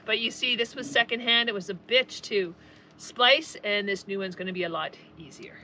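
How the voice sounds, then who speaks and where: A woman's voice: medium pitch, normal speed, pushed and bright, a little hiss or hum, poor audio. Frank, joking woman vlogging on a boat. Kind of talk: casual vlog chat. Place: outdoors on a boat deck. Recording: music bed under the voice.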